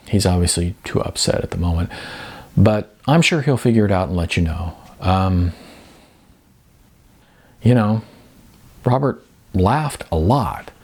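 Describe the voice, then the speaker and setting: A man's voice: low voice, creaky, a little mellow, husky, exaggerated voice. A man reading a novel aloud as a grieving brother, speaking in a subdued, hesitant, stumbling way. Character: halting grieving man announcing his brother's death.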